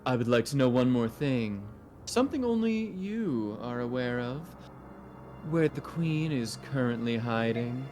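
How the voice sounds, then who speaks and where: A man's voice: mid-range voice, lively intonation, a little mellow, soft background music, close to an everyday voice. A man voicing a smug, scheming nobleman villain in a video game, mockingly courteous and condescending. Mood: taunting. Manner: mockingly courteous, drawn-out and taunting.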